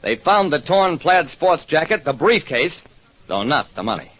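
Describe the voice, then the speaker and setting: An actor playing a terse American private detective, narrating an old radio crime drama. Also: male voice, fairly high pitch, sing-song, moderate pace, fairly bright tone. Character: wry, quick-thinking American private detective. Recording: dull vintage radio recording, music behind.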